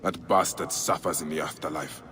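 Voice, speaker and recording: An actor playing a grave, vengeful, grieving Egyptian warrior in a dramatic video game. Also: male voice, rumbling low, steady tone, moderate pace. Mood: brooding.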